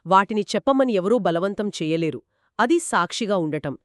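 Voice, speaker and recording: A woman speaks as an explainer in a two-person discussion, with an assured manner. Her pitch is average for a woman but moves around in a lively way. The sound is very dry, with no room echo. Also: moderate pace. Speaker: explainer co-host.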